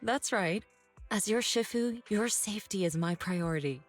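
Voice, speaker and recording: Woman acting a calm protective mentor, music behind. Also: medium pitch, lightly acted. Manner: composed, reassuring, measured. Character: calm, protective female martial arts mentor. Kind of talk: video game story dialogue. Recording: game music under the voice.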